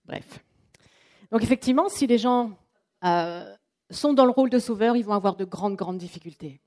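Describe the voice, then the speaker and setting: Chatty woman with a mellow voice. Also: medium pitch, normal speed. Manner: lively, chatty, acts out quotes. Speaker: trainer and coach. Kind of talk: live workshop presentation. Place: training room with an audience.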